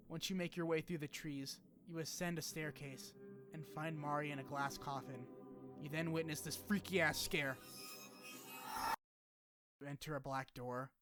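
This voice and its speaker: Guy doing a video essay voiceover, kinda high voice, sweary and opinionated, bit of music behind. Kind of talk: scripted game retrospective narration with casual asides. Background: background music.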